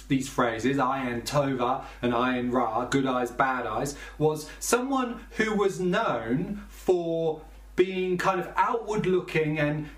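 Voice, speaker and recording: Higher-voiced man preaching in a roomy space. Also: fairly bright tone. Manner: explanatory and conversational, with small restarts. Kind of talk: sermon. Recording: roomy, from a distance.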